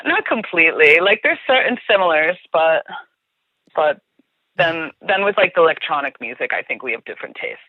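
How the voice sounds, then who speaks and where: A woman's voice: low-pitched, expressive, normal speed, no room sound. A woman with a New Jersey accent, chatting casually as a podcast guest, restarting her sentences and saying "like" a lot. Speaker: guest, tour guide. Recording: tinny phone line, dry.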